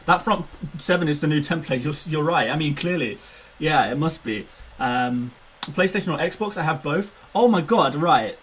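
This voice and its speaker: Young male streamer, lively and a bit high-pitched, chatty and self-mocking, faint background hum. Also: normal speed, rough recording. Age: 20s. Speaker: fantasy football streamer. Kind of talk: casual live-stream chat.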